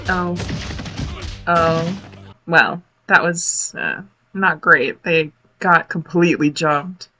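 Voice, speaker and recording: A woman giving casual, expressive live reaction commentary on a cartoon as a video host, full of exclamations. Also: lowish voice, slow pace, faint music behind. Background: cartoon soundtrack playing.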